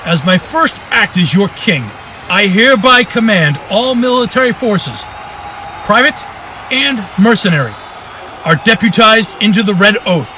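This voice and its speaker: A man's voice: high-pitched, lively intonation, a little slow, sharp tone, full character voice. An actor playing a commanding, vengeful new king who makes pompous proclamations in a fantasy audio drama. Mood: regal, stern. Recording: faint crowd and noise behind. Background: faint crowd ambience.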